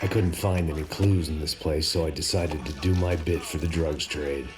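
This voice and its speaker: A middle-aged American antihero in a noir video game. His voice is extremely deep, and he narrates in a deadpan, world-weary way with sardonic bite. Music plays behind him. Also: normal speed. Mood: grim, dry.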